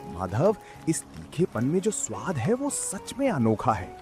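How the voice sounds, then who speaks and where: Man voicing forceful village bigwig, very animated. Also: medium pitch, a little slow, faint music behind. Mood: hearty, approving. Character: hearty village landowner and jealous snack-seller rival, male.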